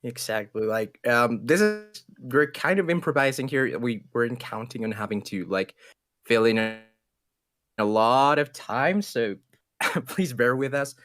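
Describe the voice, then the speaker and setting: A man's voice: medium pitch, animated, a little slow. A man from Ecuador with a Spanish accent, a native Spanish speaker, casting a livestream in a casual, improvised chatty style. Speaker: esports commentator.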